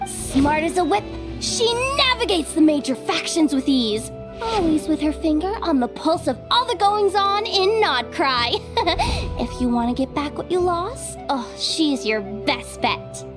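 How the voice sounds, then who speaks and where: A woman's voice: extremely high pitch, sing-song, unhurried, full character voice. An actress voicing a brash, boastful young female bounty hunter with breezy street slang in an anime game dub. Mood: cocky, teasing. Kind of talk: English anime game voice acting. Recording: music behind the voice.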